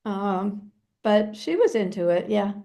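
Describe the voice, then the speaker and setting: A woman's voice: medium pitch, lively intonation, takes it slowly, vocal fry, dark tone. An American woman from New Hampshire, an interview guest telling stories casually in a hesitant, reflective way. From New Hampshire, United States. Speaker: guest, novelist.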